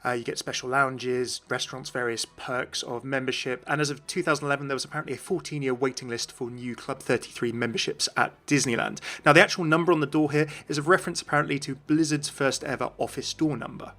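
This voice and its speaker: A man's voice: medium pitch, steady tone, fast talker, few pauses, pushed and bright. A man hosting an explanatory video about game lore, with music behind him.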